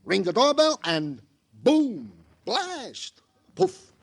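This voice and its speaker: An actor playing a chatty, fussy police sergeant with florid, mock-poetic phrasing in a 1950s radio crime drama. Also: male voice, very high voice, wildly swooping pitch, extremely slow, gravelly, exaggerated voice. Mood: jovial, theatrical.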